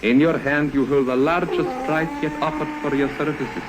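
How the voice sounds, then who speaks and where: An actor playing a formal, scheming foreign baron who speaks precisely and insistently in a 1950s radio drama. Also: male voice, on the high side, fairly even intonation, normal speed, natural voice. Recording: noisy old 1950s radio recording.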